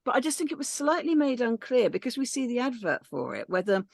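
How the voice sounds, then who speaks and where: Woman, panel chat, tentative, animated pitch, gravelly. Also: brisk, no echo, clean studio sound. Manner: tentative, thinks aloud. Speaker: actor on a script reading panel. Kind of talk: casual panel discussion.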